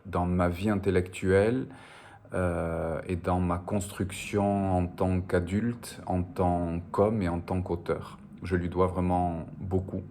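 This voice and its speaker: A man's voice: low-pitched, flat delivery, slow pace, warm tone, slight background noise. A man from the French Basque border, a native Spanish speaker, answering interview questions about his writing in a hesitant, reflective way with frequent fillers and repeated words. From French Basque Country, on the border. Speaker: novelist being interviewed.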